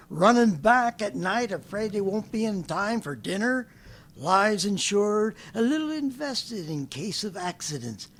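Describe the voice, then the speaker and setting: A man's voice: high-pitched, vocal fry, exaggerated voice. An actor playing a rambling, boastful former militiaman who laughs to himself as he lays out his survival plan, in 1930s radio drama style.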